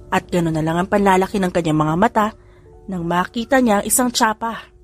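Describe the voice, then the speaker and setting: A woman narrating an audio drama story in a lively, expressive reading style. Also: mid-range voice, brisk, soft background music. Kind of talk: audiobook storytelling with character voices.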